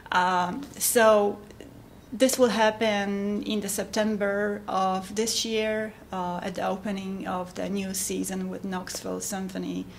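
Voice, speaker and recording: A middle-aged woman with a Polish accent, talking informally and haltingly about her own work as a composer. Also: medium pitch, little pitch movement, gravelly, a little hiss or hum. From Poland. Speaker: composer giving updates. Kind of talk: informal monologue to camera.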